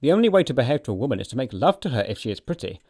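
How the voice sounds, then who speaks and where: An actor playing a witty, flippant young upper-class English dandy with an arch, playful delivery, in an audio production of a stage comedy. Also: male voice, mid-range voice, very animated, racing, a little mellow. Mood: teasing.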